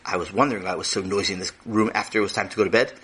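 A man's voice: low voice, steady tone, very fast, fairly bright tone, husky. A man voicing an exasperated mother who keeps questioning her son, in a raised, incredulous voice. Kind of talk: storytelling with character voices.

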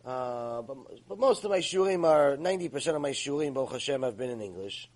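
A middle-aged man giving a Torah lecture, animated and often repeating words as he talks. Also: mid-range voice, a little fast. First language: Hebrew. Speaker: Torah teacher.

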